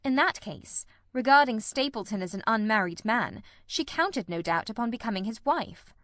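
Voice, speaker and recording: A woman playing a calm, incisive male detective who explains his deductions in a measured, assured way in a dramatised reading. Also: a little high, dry recording, studio quality. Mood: calm, analytical. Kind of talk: audiobook-style dramatised reading.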